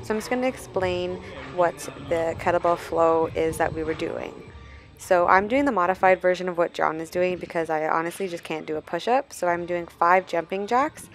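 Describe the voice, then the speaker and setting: A young woman vlogger doing a casual voiceover, chatting and explaining a workout step by step. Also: lowish voice. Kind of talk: casual travel vlog voiceover.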